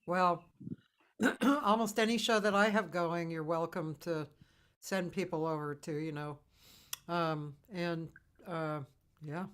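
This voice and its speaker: A woman with a slightly low voice hosts a relaxed, casual chat. She feels her way through sentences with hesitant phrasing that tends to trail away. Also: slow pace, long pauses, clean tone. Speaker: interview host, musician.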